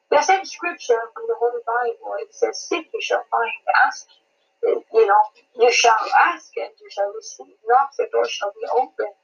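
A woman's voice: on the high side, vocal fry, slightly rough, dull audio. A woman from Malawi who is a prophetess, praying and preaching a sermon with a fervent, repetitive cadence. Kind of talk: sermon and prayer.

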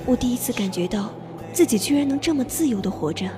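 A woman's voice: on the high side, expressive, quick pace, close to an everyday voice. A woman acting a cold, curt young female assassin in a radio drama, speaking in terse, clipped lines. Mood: wistful. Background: music score underneath.

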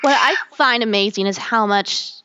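A woman's voice: mid-range voice, normal speed, pushed and bright, muffled recording, a little hiss or hum. A woman interviewing a guest in casual chat, enthusiastic and quoting her own reactions.

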